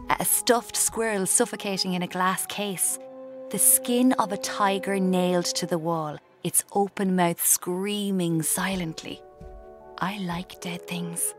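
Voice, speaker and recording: A young woman with an Irish accent acting a morbid, cheeky aspiring writer in a radio drama, narrating in a hushed, deliberate way. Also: lowish voice. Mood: morbid, brooding. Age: young adult.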